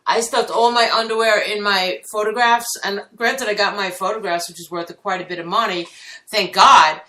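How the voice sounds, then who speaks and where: A woman speaks in a bright, forceful voice and talks bluntly, with a complaining edge. The room around her is echoey, and her words ring off hard walls. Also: mid-range voice, steady tone, moderate pace, a little hiss or hum. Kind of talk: casual chat.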